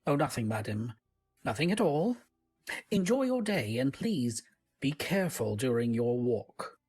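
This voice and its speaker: Woman acting a very low-voiced, humble servant. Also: big pitch swings, unhurried, a little mellow, soft background music, heavily acted. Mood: guarded, courteous. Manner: deferential, polite, old-fashioned. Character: humble, courteous manor servant, eager to please. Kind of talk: old-time radio drama acting.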